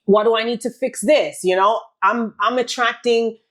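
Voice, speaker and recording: A woman's voice: fairly high pitch, moderate pace. A woman from Haiti hosting a podcast, talking in an earnest, emphatic way with many restarts and repeated words. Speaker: podcast host. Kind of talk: casual heartfelt conversation.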